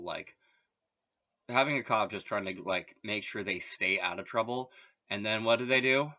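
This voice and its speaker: A man's voice: lowish voice, sharp tone, lo-fi sound. A man from Massachusetts talking in a rambling, casual monologue, full of filler words and wry asides. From Massachusetts, USA. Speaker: vlogger.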